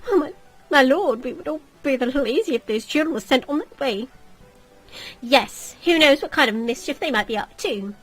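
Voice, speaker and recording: A woman's voice: sits high, expressive, moderate pace, a bit bright, husky, soft background music, full character voice. A woman voicing an indignant, snobbish society lady in a visual novel, complaining melodramatically. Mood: indignant, whining. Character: indignant haughty society lady, affronted and wailing.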